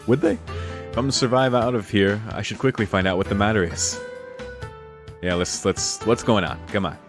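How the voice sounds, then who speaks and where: A man's voice: lowish voice, steady tone, moderate pace, pauses often. A man streaming a visual novel, reading the text aloud in a casual way and reacting with incredulous, jokey swearing. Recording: music playing behind the voice. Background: guitar music of a game soundtrack.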